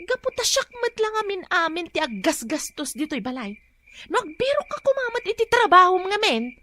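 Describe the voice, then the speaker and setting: A woman's voice: extremely high pitch, big pitch swings, normal speed, pushed and bright, heavily acted. An actress playing a nagging working woman who pays for everything at home, in a radio drama. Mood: scolding. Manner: nagging, scolding. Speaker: teacher.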